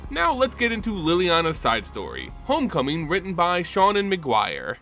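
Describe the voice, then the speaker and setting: A man hosting and narrating fantasy lore as a scripted, dramatic story in a measured delivery. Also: sits high, wildly swooping pitch, bright, forceful tone. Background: background music.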